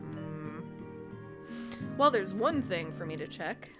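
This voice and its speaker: A woman's voice: sits low, animated, takes it slowly. A woman streaming a game playthrough, playful and sweary, reacting casually to the story. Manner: playful, sweary, theatrical when reading. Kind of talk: playthrough reactions and voiced reading of game dialogue. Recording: voice over loud game music.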